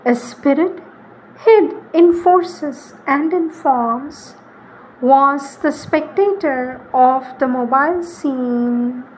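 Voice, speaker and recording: A woman reads spiritual poetry in a solemn, devotional style, deliberately, pausing between short phrases. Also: high voice, slight background noise, rough recording. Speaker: reader.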